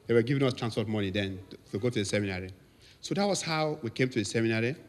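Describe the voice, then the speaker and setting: Nigerian priest in his fifties, anecdotal speech to his congregation, lively when quoting others. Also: medium pitch, rapid-fire. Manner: storytelling, laughs often, lively quotes. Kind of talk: anniversary thank-you speech.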